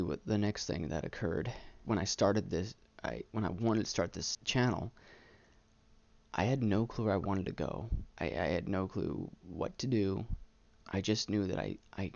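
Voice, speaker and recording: A young adult man talking candidly about himself as a vlogger, halting and stuttering on words, earnest and self-deprecating. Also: sits low, gravelly, darkish tone, no room sound, dull audio. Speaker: YouTube vlogger. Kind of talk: candid personal monologue, casual chat. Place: small room indoors.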